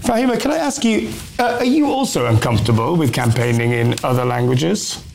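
A man's voice: medium pitch, very animated, fairly quick, vocal fry, a little mellow, slight background noise. A man presenting a live news show, joking and laughing as he reads viewer comments. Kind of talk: light-hearted live news chat.

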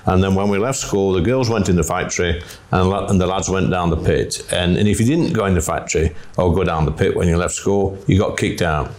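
A middle-aged man hosts a radio talk segment. His voice sits very low, and he asks short, blunt questions that push back on his guest. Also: a little fast, darkish tone. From North Nottinghamshire, England. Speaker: radio host. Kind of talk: radio phone-in interview.

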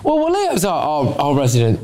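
Higher-voiced man hosting, mocking banter. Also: wildly swooping pitch, a little fast, dark tone. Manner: mocking, sarcastic. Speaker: host of a topical panel show. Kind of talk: casual opinionated panel banter.

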